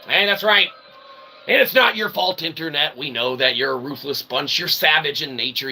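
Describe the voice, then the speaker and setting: A man's voice: mid-range voice, bright, forceful tone, rough recording. A man hosting an improvised livestream, rambling to viewers in wordy, mock-formal roundabout phrases tagged with okay and right, with theatrical playful asides. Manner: often tags sentences with "okay" and "right". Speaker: livestream host.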